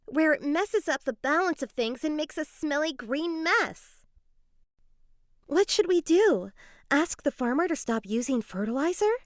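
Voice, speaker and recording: A woman giving an expressive, explanatory dramatized reading of an educational children's story. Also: sits high, a little slow, dry recording, clean studio sound. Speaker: children's book reader.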